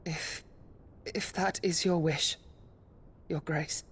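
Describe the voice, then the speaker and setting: An actress playing a devoted, dutiful retainer in a fantasy game, speaking formally and deferentially, with some hesitation. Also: female voice, low voice, steady tone, takes it slowly, a little hiss or hum. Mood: anxious, reluctant.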